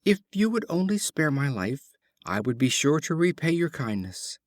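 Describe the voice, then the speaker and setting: A man's voice: on the high side, big pitch swings, moderate pace, dry recording, clean studio sound, pitched above the performer's own voice. A man voicing a small, proud mouse in a fable, speaking in an earnest, pleading and self-righteous way.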